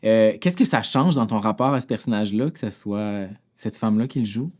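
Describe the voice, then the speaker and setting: A man hosting an interview, asking questions and affirming guests with quick interjections. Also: lowish voice, a little mellow. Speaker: host.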